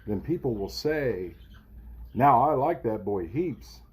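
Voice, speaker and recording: A man reading a rhyming children's picture book aloud in an expressive, theatrical, playful way, as for a kindergarten class. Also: medium pitch, takes it slowly, husky. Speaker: storybook reader for kindergarten children.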